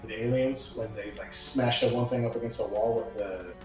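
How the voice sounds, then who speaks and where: A man's voice: fairly low pitch, steady tone, normal speed, darkish tone. A man chatting casually as a player in a tabletop roleplaying game, hesitant and thinking aloud. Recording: very echoey room, music behind.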